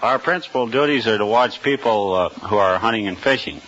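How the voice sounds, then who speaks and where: Earnest halting man, low bright voice. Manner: earnest, stiff, halting with many uhs. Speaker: game warden, quiz contestant. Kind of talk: hesitant guest answers. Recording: dull archive radio recording.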